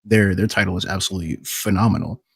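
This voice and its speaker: A man with a gravelly voice talking casually as a podcast guest, thinking aloud in an informal way. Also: low-pitched, fairly even intonation, quick pace, a bit bright, dry recording. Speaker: podcast guest, voice actor and video maker. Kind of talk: casual panel talk.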